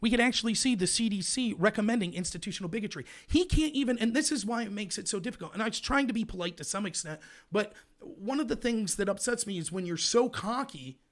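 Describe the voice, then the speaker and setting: Guy hosting a political livestream, high voice, loud and fired up, ranting hard. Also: animated, fast talker, hardly any pauses. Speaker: livestream show host. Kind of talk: heated political commentary.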